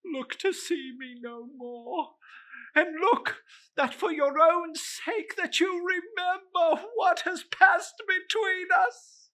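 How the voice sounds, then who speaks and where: A man's voice: extremely high pitch, bright, forceful tone, voice pushed up, heavily acted. A man voicing the tormented ghost of a dead businessman in a dramatic storybook reading, wailing and drawing out his solemn warnings. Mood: ominous. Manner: wailing, drawn-out, ominous declamation.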